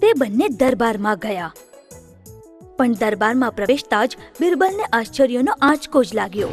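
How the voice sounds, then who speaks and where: A woman narrating a children's story in an expressive storytelling manner. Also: high voice.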